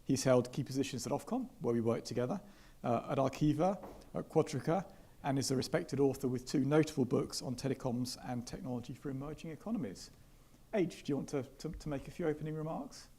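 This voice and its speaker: A man moderates a tech conference panel in a mellow, relaxed voice. He speaks in a measured, thoughtful way, pausing on fillers and restarting phrases as he goes. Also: medium pitch, slightly rough. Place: conference hall with live audience.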